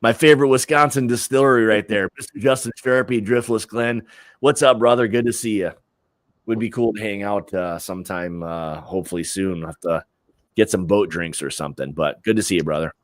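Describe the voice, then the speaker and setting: A man with an American accent and a fairly low voice hosts a casual sports chat. He is opinionated and slangy, speaking informally. The sound is dry, with little room on it. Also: brisk, no room sound. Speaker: host of a sports prediction livestream.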